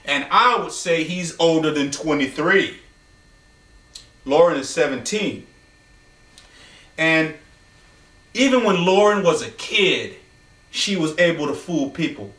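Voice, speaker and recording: A man telling an improvised story, with dramatic, deliberate phrasing and pauses. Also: fairly high pitch, expressive, a bit bright. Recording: roomy echo, distant from microphone.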